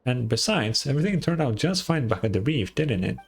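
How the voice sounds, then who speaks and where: A man's voice: medium pitch, big pitch swings, fairly quick, husky. A man voicing a boastful, self-assured schemer in a fantasy video game, talking in a grandiose, breezy way. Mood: grandiose, confident. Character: boastful, overconfident schemer, self-styled moon-goddess devotee and inventor.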